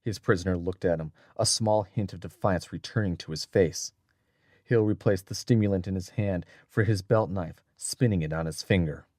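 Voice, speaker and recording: Male narrator, lowish register, dry sound, deliberate dramatic audiobook storytelling. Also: darkish tone, dry recording.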